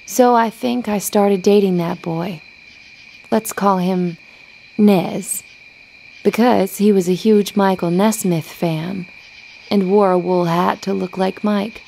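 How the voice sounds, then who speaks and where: A woman reading a first-person story aloud, in a measured, conversational storytelling style. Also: mid-range voice, steady tone, vocal fry, dark tone, slight background noise, poor audio. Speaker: story reader.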